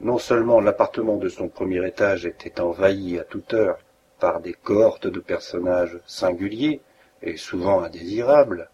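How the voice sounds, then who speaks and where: Man, low voice, audiobook narrator, measured literary reading, faint background hiss. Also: warm tone, highs cut off. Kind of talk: scripted narration.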